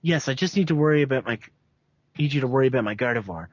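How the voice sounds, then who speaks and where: A woman voicing a cruel young male monster trainer in an amateur story reading, with a flat, stumbling delivery. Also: a bass voice, fast, dry recording, voice pushed down. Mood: feigned worry. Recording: dry, muffled home recording.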